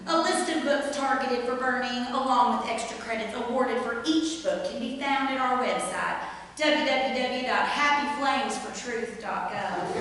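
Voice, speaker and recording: A far-off, heavily reverberant stage recording of a woman playing a head librarian. She is a zealot with prim, official diction and a slow, measured delivery. Malicious muttered asides show how much she relishes cruelty. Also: fairly high pitch, a bit bright. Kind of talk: theatrical reading of a satirical letter. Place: live staged reading in a reverberant room. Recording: echoey hall from far off, faint noise.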